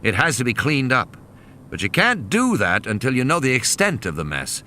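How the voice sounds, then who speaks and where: Man acting forceful, angry male scientist taking charge. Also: medium pitch, huge pitch swings, normal speed, slight background noise, pitched above the performer's own voice. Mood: firm, commanding. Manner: blunt, forceful, scolding. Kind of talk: audiobook character dialogue.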